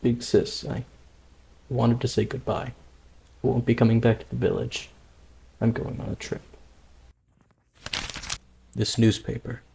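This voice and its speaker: An actor playing a calm, independent young tribesman, a stubborn younger brother, in a dramatic manga dub with an earnest, measured manner. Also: mid-range voice, creaky, slight background noise, rough recording. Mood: resolute.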